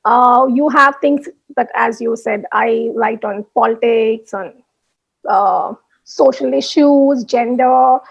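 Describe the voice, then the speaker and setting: Indian woman guest, high pitch, quick candid chatter with lots of restarts, video call. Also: gravelly, breathy edge, dull audio. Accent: Indian English. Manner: chatty, fast with frequent restarts and fillers. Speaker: blogger guest. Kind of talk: candid interview chat.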